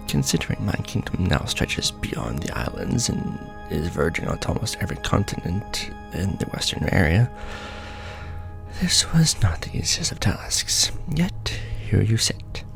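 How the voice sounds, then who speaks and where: A man's voice: on the low side, vocal fry, exaggerated voice. A man playing an ancient, pompous, scheming vampire lord in a theatrical, courtly ASMR roleplay monologue.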